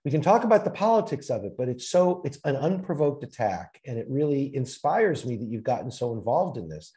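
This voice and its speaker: A man's voice: mid-range voice, very animated, a little fast, dark tone. A man hosting an interview, affirming his guest and steering the talk with short prompts. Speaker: interview host. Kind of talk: interview conversation.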